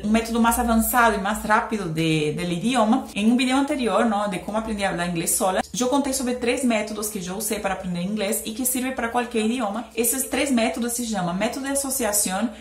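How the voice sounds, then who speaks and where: A young woman from Brazil, speaking with a Brazilian Portuguese accent, explains language-learning tips in a chatty, casual vlog with many "eh" fillers. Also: on the high side. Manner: chatty, explains with hand gestures, frequent "eh" fillers. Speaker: language vlogger. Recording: echoey room.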